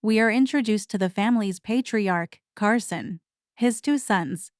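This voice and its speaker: An actress plays a formal, slightly defensive archivist in an audio drama. She has a clean, smooth voice at a middle pitch, recorded dry. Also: a little slow, no echo, close to an everyday voice. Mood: prickly, composed. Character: prickly, dutiful archivist sister recapping a story. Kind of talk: scripted recap and banter.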